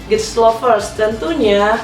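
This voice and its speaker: A woman's voice: fairly high pitch, takes it slowly. Chatty woman podcast host, echoey room. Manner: chatty, mixes English phrases into speech. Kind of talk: casual podcast interview. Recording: echoey room, mic at a distance, music behind.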